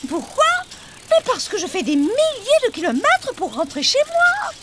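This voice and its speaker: High-pitched grumpy cartoon swallow. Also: female voice, huge pitch swings, normal speed, very noisy, full character voice. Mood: weary grumbling. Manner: complaining, demanding, theatrical. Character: grumpy, fussy female swallow, weary migrating bird. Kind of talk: preschool cartoon acting. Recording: music behind the voice.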